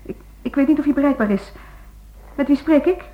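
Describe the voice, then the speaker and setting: A woman's voice: sits high, brisk, close to an everyday voice. A woman acting in a radio play as a caring, anxious wife, attentive and sometimes hesitant. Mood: flustered, anxious. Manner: attentive, hesitant when flustered. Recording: muffled, noisy old radio recording.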